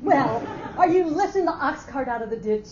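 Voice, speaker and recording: A brash, boisterous office lady acted by a woman teases bluntly in slang, her high voice swinging up and down. It is a staged reading, heard in an echoey room. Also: lively intonation, normal speed, a little mellow, a faint crowd. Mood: boisterous, taunting. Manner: bouncy, blunt, slangy teasing. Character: loud, brash, boisterous female office adviser. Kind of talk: staged dramatic reading of a short story. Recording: muffled, echoey hall with faint noise.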